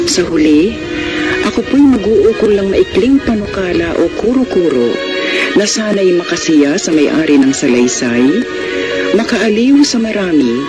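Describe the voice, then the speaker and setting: A man with a Filipino accent hosting a radio drama, narrating in formal, florid, deliberate phrasing. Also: sits high. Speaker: host of a radio drama anthology. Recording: radio broadcast with music behind. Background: music.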